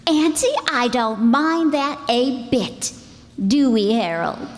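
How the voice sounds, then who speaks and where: A woman's voice: a little high, expressive, slow pace. A woman playing a breezy, slangy, outspoken young girlfriend in an old-time radio drama. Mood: breezy, perky. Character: brash, perky young girlfriend, outspoken and pleading. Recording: echoey hall stage sound.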